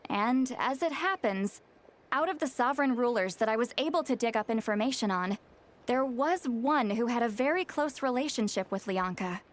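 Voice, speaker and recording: An actress playing a calm, scholarly young woman in a video game, explaining in a measured, articulate way. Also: a little high, natural voice. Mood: composed, explaining. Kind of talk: video game dialogue, expository.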